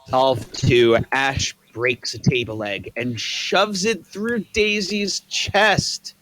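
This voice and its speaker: A man reads scene directions aloud at a table read, taking them steadily and without fuss. His voice is mid-pitched, and it sounds dry and close with little room sound. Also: slow, no echo. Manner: plain, even reading of scene directions. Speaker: stage direction reader.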